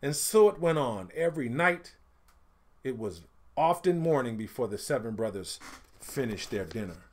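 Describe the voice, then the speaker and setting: A middle-aged man reading a picture book aloud as an expressive storyteller, with deliberate, emphatic phrasing that falls away at phrase ends. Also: mid-range voice, a little hiss or hum. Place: indoors, small home studio room.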